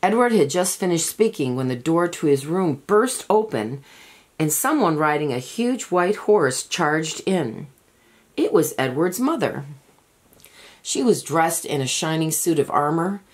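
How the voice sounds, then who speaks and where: A woman reading a children's picture book aloud as an expressive, deliberate storyteller. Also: sits low. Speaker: storybook reader.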